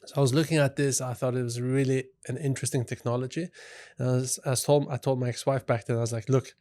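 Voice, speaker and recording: A soft-spoken man from Sweden speaks as a guest on a podcast, telling his story at an easy pace. His voice sits in a medium range, and he often drops "you know" between his thoughts. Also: medium pitch, little pitch movement, fast talker. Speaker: guest, company CEO. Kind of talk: relaxed storytelling in an interview.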